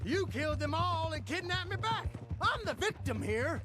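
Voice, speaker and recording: Whiny captured outlaw. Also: male voice, extremely high pitch, sing-song, moderate pace, music in the background. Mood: indignant. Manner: protesting, self-pitying. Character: whiny captured male outlaw, indignant prisoner.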